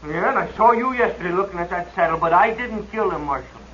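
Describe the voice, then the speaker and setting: A man's voice: sits high, expressive, fast talker, bright, forceful tone. An actor playing a young man who holds two men at rifle point, snarling threats and turning to panicked pleading, in a 1950s radio drama. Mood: menacing. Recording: dull old radio recording, faint hiss.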